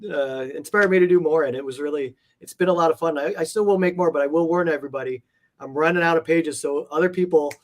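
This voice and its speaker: A man's voice: fairly high pitch, fast talker. A man speaking as an interview guest, chatting casually in a rambling, reflective and enthusiastic way. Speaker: interview guest, art collector. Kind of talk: casual chat.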